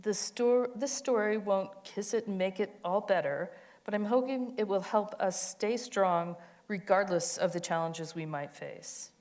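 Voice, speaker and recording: A woman's voice: medium pitch, a little slow, few pauses, darkish tone. A woman giving a dramatic reading of an autobiographical monologue, with a tender, emphatic storytelling delivery. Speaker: co-reader of a monologue.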